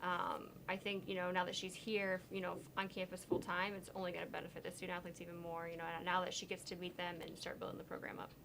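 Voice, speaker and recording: A woman administrator answers interview questions in a lowish voice. She talks earnestly and leans on fillers like "you know" and "um". Faint background noise stays under her. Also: monotone, quick pace, creaky, few pauses. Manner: earnest, uses many fillers. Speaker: associate athletic director.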